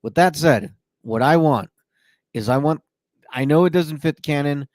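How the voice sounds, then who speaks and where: A man's voice: a little high, unhurried, darkish tone, no echo, clean studio sound. A man hosting a podcast, chatting casually and joking, with frequent fillers. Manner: playful, jokey, many fillers. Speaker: podcast host. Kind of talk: casual chat.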